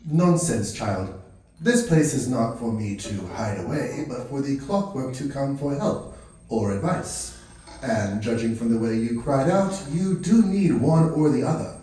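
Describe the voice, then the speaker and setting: A man playing a calm, priestly counsellor with a hint of menace in a fantasy audio drama, speaking in a measured, formal way. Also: mid-range voice, natural voice. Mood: kindly, solemn. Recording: cavernous echo, distant from mic.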